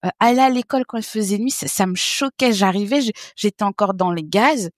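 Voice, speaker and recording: A woman in her thirties from French Guiana, a podcast guest telling stories in a lively way, laughing and acting out what others said. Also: mid-range voice, fairly quick, dry recording, studio quality. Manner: lively, laughing, acts out other people's remarks. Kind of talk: casual interview storytelling.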